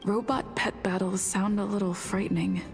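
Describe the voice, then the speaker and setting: A woman voicing a calm companion character in scripted video game dialogue. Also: medium pitch, normal speed, natural voice. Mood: matter-of-fact. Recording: game audio with faint music behind.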